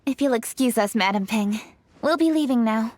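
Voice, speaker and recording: A woman's voice: on the high side, moderate pace, darkish tone, a little hiss or hum, lightly acted. An actress voicing a courteous, dutiful young noblewoman official in a video game, formal and composed. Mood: composed, decisive.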